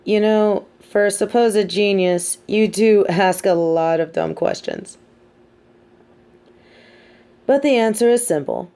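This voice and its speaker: A woman playing a sardonic, teasing supervillain with a hidden soft side, talking straight to the listener in an audio roleplay with theatrical, mocking emphasis. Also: medium pitch, slow pace, long pauses. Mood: musing, lecturing. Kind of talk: scripted audio roleplay monologue spoken to the listener.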